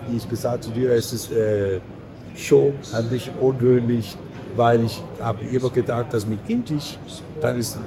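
A man from Belgium, a podcast guest who speaks halting with many fillers and restarts. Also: medium pitch, poor audio. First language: not German. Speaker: podcast guest, editor of a print-industry film channel. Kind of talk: casual interview chat. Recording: crowd noise behind.

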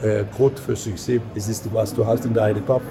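A man from Belgium, a podcast guest who speaks halting with many fillers and restarts. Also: fairly low pitch, flat delivery, creaky. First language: not German. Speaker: podcast guest, editor of a print-industry film channel. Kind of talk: casual interview chat. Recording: crowd noise behind.

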